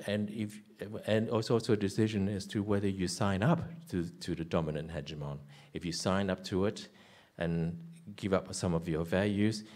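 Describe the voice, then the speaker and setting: Older man musing slowly, deep voice. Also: darkish tone. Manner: hesitant, many fillers and restarts. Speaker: artist on a panel. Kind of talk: reflective panel talk. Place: stage before an audience. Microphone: handheld mic.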